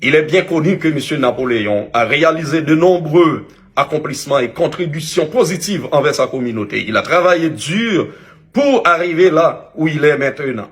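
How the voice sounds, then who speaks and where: Haitian-accented man, earnest and halting. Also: fairly high pitch, a bit bright. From Haitian community, Canada. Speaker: online media commentator. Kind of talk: public apology video, partly read from a text.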